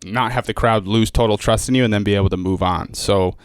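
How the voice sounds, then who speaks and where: A young man, a stand-up comedian and guest, chatting casually on a podcast in a fast, rambling way, with lots of fillers. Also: on the low side, steady tone, clear, resonant tone, no room sound, clean studio sound. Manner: fast, rambling, heavy use of "like".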